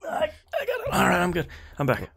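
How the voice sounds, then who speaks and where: A man's voice: high voice, wildly swooping pitch, quick pace, creaky, fairly bright tone, husky. A man hosting a podcast in a casual, conversational way. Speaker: podcast host.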